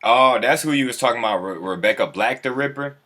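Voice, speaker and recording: A man's voice: mid-range voice, brisk, sharp tone, slight background noise. A man hosting a casual livestream, talking in a laid-back, slangy, profane way and chuckling often. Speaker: livestream host.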